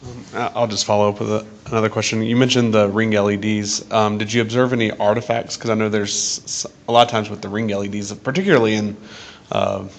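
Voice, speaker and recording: Creaky male voice, flat intonation, session chair, casual hesitant follow-up questions. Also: on the low side, quick pace.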